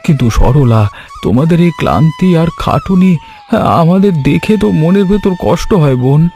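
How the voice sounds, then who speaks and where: A man voicing an earnest, caring village husband and farmer in a Bengali cartoon story, with a sentimental, measured delivery. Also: a little high, animated, mellow, soft background music. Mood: heartfelt, concerned. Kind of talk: Bengali animated moral story dialogue.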